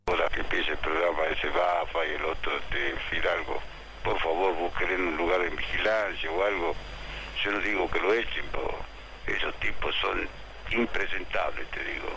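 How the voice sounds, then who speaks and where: Deep, gravelly grumbling Argentine man. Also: steady tone, moderate pace, pushed and bright, rough recording. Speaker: radio listener leaving a message. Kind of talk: spoken complaint message.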